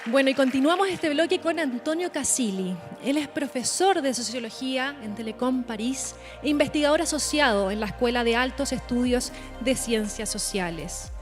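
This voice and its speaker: A woman hosting a live conference, introducing each speaker and talking to the audience in a slightly high voice. Soft music plays in the background of the large hall. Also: normal speed, few pauses. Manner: announcing speakers, addressing the audience. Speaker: event host. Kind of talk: live event presenting.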